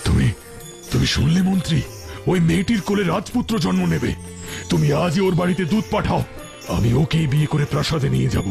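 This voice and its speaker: A man's voice: a little high, animated, quick pace, vocal fry, darkish tone, faint music behind. An actor playing an impulsive, imperious king in a fairy-tale drama, with an urgent, commanding manner. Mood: excited. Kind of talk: Bengali fairy-tale audio drama acting.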